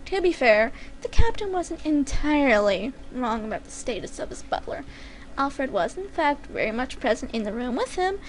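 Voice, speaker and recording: A woman's voice: high-pitched, sing-song, normal speed. A woman giving an amateur dramatic narration of a comic fan-written story. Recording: faint background noise.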